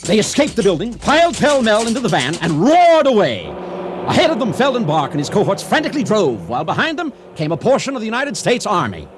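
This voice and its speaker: An actor playing an earnest storyteller, narrating a radio drama in a dramatic, mock-serious manner. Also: male voice, high voice, huge pitch swings, quick pace, background noise. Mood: excited.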